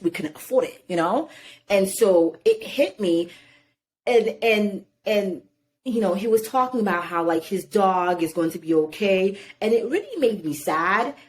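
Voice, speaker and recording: A woman in her thirties, born in Haiti, telling stories animatedly in a casual interview and leaning often on "you know". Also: medium pitch. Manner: animated storytelling, many "you know" fillers. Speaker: guest.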